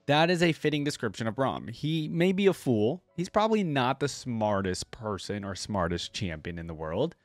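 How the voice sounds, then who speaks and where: Man, mid-pitched, chatty reaction commentary, enthusiastic, lively intonation, dry room sound. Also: moderate pace, few pauses, no echo. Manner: enthusiastic, chatty, appreciative interjections. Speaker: reaction video host.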